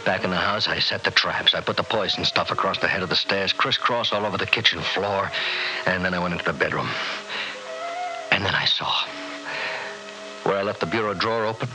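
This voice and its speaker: A hard-boiled American schemer eaten up by guilt. His lowish voice is agitated and obsessive, circling back on the same phrases in old radio horror style, with music clearly behind him. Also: low-quality recording. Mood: panicked, despairing. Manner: obsessive, repetitive, agitated muttering and confessing.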